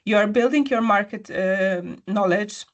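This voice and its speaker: A woman's voice: mid-range voice, unhurried, lo-fi sound. Woman telling her job story. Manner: storytelling, frequent fillers and restarts. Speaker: interview guest. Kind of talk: conversational interview answer.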